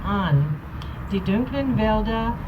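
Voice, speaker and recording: Woman, muffled under loud noise. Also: mid-range voice, expressive, takes it slowly. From United States. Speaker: poetry reader. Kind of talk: live public speaking and recitation. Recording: muffled live recording, loud background noise.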